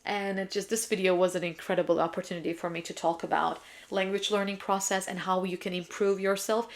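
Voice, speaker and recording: Casting: young female vlogger and language teacher, native Turkish speaker, medium pitch, talkative and emphatic, informal direct-to-viewer chat. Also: flat delivery, fairly quick. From Turkey. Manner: chatty, emphatic, self-described yapper. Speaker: English teacher and video host. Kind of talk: casual vlog chat, answering viewer questions.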